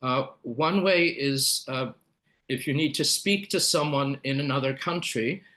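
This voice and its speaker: A man's voice: medium pitch, unhurried, pushed and bright. Man presenting a webinar, explanatory delivery with fillers and self-corrections. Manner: explanatory, many fillers and restarts. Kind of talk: live webinar talk.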